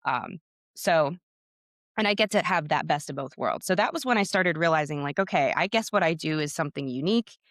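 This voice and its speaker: A woman's voice: deep voice, animated, a little fast, a bit bright, dry recording, clean studio sound. Chatty Pennsylvania woman in her thirties. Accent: Pennsylvania American. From United States, Pennsylvania. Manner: chatty and talkative, full of "like" and "you know". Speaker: guest author and podcaster. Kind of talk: casual interview chat.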